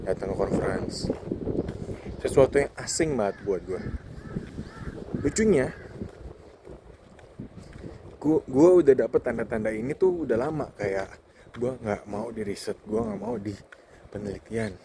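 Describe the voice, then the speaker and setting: A man in his twenties with an Indonesian accent gives a candid, casual, reflective monologue as a vlogger, often pausing and slipping in English phrases, while walking outdoors. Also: mid-range voice, gravelly. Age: 20s. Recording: outdoor wind and ambient noise.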